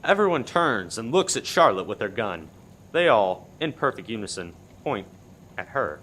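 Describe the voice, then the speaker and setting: Animated male radio play narrator. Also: lowish voice, moderate pace, slight background noise. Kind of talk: dramatic scripted storytelling.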